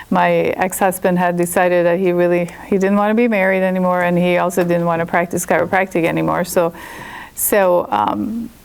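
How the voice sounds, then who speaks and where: A woman's voice: lowish voice, darkish tone, clear, resonant tone. A woman in her fifties from Sweden with a Swedish accent, a chiropractor telling her personal story to an audience in a candid, chatty way. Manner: candid and chatty, with frequent restarts. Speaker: chiropractor and guest speaker.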